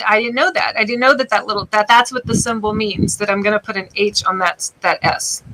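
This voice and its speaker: A woman hosting a casual interview show from her bed on a laptop, excitable and gushing, often stumbling over restarts. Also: mid-range voice, brisk, rough recording. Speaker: talk show host. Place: bedroom.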